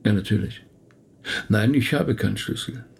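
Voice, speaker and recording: Middle-aged Swiss rabbi in a radio play, reserved and courteous, creaky husky voice, medium pitch. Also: male voice, moderate pace, darkish tone, exaggerated voice. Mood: guarded, reflective. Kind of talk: radio drama acting.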